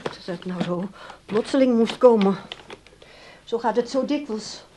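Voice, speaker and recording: An actress playing a controlling grown daughter in a radio drama, speaking in a brisk, formal, placating way. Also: female voice, a little high, warm tone, slight background noise, lightly acted. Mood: concerned. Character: polite but evasive, controlling adult daughter.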